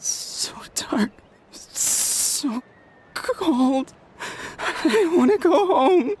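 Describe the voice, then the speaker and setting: An actor voicing a frightened, afflicted warrior lost in darkness, pleading in faint, halting, breathy words. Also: high-pitched, expressive, whispered, full character voice. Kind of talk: video game voice acting.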